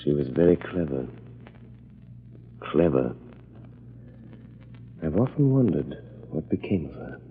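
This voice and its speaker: An actor playing a calm, grave crime fighter with measured, dramatic delivery in an old-time radio drama. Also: male voice, rumbling low, phone-quality sound, a little hiss or hum, exaggerated voice. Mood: grave, mysterious. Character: mysterious crime-fighting man, calm, grave, secretly invisible avenger. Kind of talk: 1930s radio acting.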